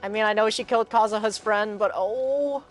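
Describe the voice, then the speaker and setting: A male streamer with a fairly high voice gives casual live commentary. He talks informally and earnestly, circling back over his phrases. Game music plays faintly behind him. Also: normal speed. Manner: informal, earnest, repeats himself.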